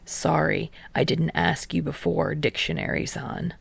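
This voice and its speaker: Woman as a low, deadpan teenage boy. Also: unhurried, creaky, breathy edge, no echo, full character voice. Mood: dry teasing. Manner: deadpan, muttering, understated. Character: dry, guarded, sarcastic teenage boy. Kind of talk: audiobook character voice in fan fiction reading.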